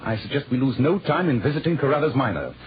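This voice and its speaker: A man playing a brisk, commanding English detective with clipped, precise, theatrical diction in a 1940s radio drama. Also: mid-range voice, sing-song, a crowd in the background, lightly acted. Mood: urgent, incisive. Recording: muffled, noisy 1940s radio recording.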